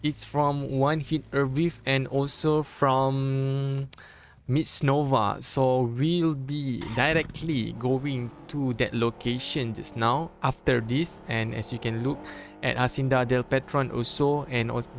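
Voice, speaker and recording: A young man from Malaysia with a Malaysian accent, a Malay native speaker casting a live mobile esports match in English, chatty and joking, with halting phrasing and frequent restarts. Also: medium pitch, steady tone, slight background noise. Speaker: esports caster. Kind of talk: live esports game commentary.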